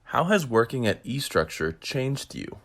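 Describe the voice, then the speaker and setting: A young adult interviewer asking scripted quick-fire questions in a polite, upbeat way. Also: medium pitch, sing-song, fairly bright tone.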